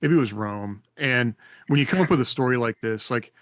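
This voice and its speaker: A male podcast co-host with an American accent and a middle-pitched voice, recorded very dry. He speaks casually in a panel discussion, tentatively working through his thoughts as he goes. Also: lively intonation, brisk, fairly bright tone, no room sound. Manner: tentative, thinking aloud.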